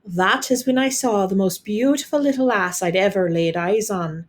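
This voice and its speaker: A woman's voice: medium pitch, normal speed, lightly acted. Woman playing an Irish father telling grand tales. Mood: fond storytelling. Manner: theatrical, lilting storytelling. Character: Irish father, warm grand storyteller, wistful romantic.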